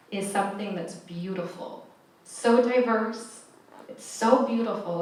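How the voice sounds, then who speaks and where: A woman whose first language is Spanish, speaking earnestly on a panel with many restarts. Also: medium pitch. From California, USA. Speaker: panelist, math educator. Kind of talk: panel discussion. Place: large echoey event hall. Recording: echoey hall, mic far off.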